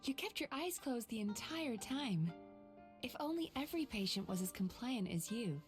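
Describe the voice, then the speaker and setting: An actress playing a gentle, playful dream healer in a video game, soothing and affectionate, with a soft, breathy, near-whispered delivery. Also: female voice, on the high side, big pitch swings, soft background music. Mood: playful, doting. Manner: coaxing, affectionate, unhurried. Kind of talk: soft, guided-relaxation game voice acting.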